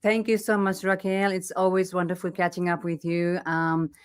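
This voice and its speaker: A woman's voice: fairly low pitch, level tone, moderate pace, clear, resonant tone. A woman from Nepal, a mother of two, speaking as a guest in a casual live chat with a friendly, conversational manner and lots of "you know".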